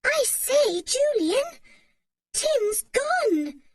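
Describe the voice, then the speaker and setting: A woman's voice: sing-song, extremely slow, no echo, full character voice. Woman voicing a bold tomboy girl, George. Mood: worried. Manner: high and emphatic, close to shouting. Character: young girl George, bold tomboy, upset over her dog.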